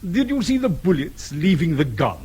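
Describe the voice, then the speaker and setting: An actor playing a suave, sceptical police colonel in a radio drama, formal, precise and sarcastic. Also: male voice, a little high, big pitch swings, normal speed, a little mellow, breathy edge, exaggerated voice. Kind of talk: British radio drama acting.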